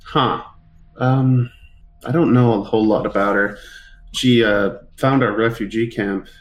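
A man's voice: fairly low pitch, fairly even intonation, a little slow, lo-fi sound, a little hiss or hum. A man playing a tabletop roleplaying game, chatting casually in character with the group.

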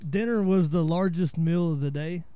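Mellow-voiced Southern man telling stories. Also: on the high side, moderate pace, clean tone, no room sound. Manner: laid-back storyteller, explains word origins. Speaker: podcast host. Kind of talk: casual chat.

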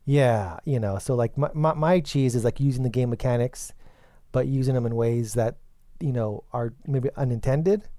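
A man co-hosting a gaming podcast, a little hoarse and congested from a recent illness, talking hesitantly. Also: medium pitch, normal speed, mellow, dry recording, studio quality. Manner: hesitant, lots of fillers and restarts. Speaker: podcast co-host. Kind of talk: casual podcast chat.

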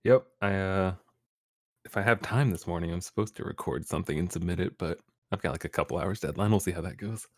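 A man's voice: low voice, fast talker, dry recording, studio quality. A young man, a streamer guest on a podcast, talking casually with easy laughs and rambling restarts. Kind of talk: casual interview chat.

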